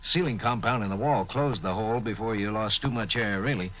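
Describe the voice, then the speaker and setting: An American actor playing a worried, dutiful military doctor in a 1950s radio drama. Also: male voice, on the low side, a little fast, lightly acted. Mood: reassuring. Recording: muffled vintage 1950s radio recording.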